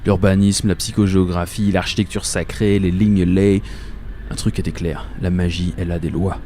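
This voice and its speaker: A man's voice: low-pitched, fairly even intonation, normal speed, mellow, slight background noise. A man playing a dreamy, obsessive commuter who narrates a radio fiction in a colloquial, wry, confiding way. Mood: intent, confiding. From Paris, France. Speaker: narrator and protagonist. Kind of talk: first-person radio fiction monologue.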